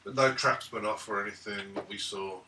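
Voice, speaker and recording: A man playing a tabletop role-playing game, talking casually and haltingly as he plans cautious moves aloud. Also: lowish voice, fairly even intonation, pushed and bright. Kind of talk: casual chat during play. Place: indoor room at a gaming table. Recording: echoey room, distant mic, faint noise.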